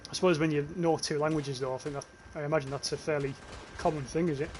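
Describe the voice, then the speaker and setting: A man's voice: fairly high pitch, fairly even intonation, fast talker, a little hiss or hum. A young British man with a northern English accent, casually commentating his gameplay livestream, swearing offhand and reading chat comments. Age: young adult. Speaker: streamer.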